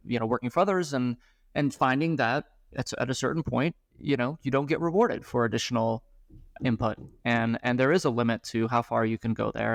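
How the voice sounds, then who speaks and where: A man in his thirties, a startup founder, talking about his work in a casual podcast interview, halting, with frequent restarts and fillers. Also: medium pitch, creaky, no room sound. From grew up abroad, moved to the US at eleven.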